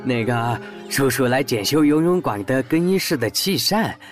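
A man's voice: mid-range voice, animated, faint music behind, close to an everyday voice. A man playing a friendly-seeming workman stranger who coaxes children politely in an audio drama. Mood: coaxing, friendly. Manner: coaxing, polite, deliberate. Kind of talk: Chinese audio drama acting.

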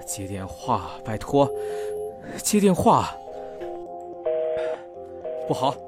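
A man's voice: medium pitch, very animated, extremely slow, over music. A man acting a protective, teasing, tender-hearted young lover in an audio drama. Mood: anxious.

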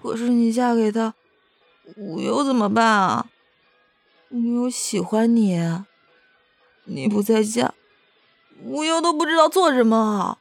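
A woman plays a naive, devoted young girl of a martial sect in a period audio drama. Her voice is high, and she pleads artlessly, referring to herself by name like a child. Music plays behind her. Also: expressive, unhurried, creaky, long pauses, no echo. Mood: pleading. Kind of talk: Chinese costume audio drama acting.

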